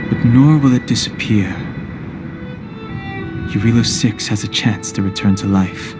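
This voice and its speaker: An actor playing a calm, logical, reserved young man in a video game cutscene, measured and matter-of-fact. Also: fairly low pitch, lightly acted. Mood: calm, pensive. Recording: orchestral music behind the voice.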